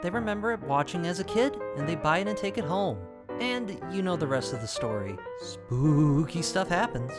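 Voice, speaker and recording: Young male video essay host, fairly high animated voice, wry casual asides, music bed underneath. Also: moderate pace, hardly any pauses. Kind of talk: scripted narration with casual asides.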